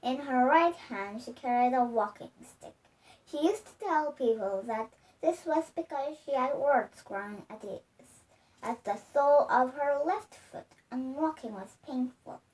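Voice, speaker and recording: Young female child reader, high voice, halting storybook delivery, picked up from a distance. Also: expressive, a little mellow. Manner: careful, halting reading with misreadings. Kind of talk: storybook read-aloud. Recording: distant microphone.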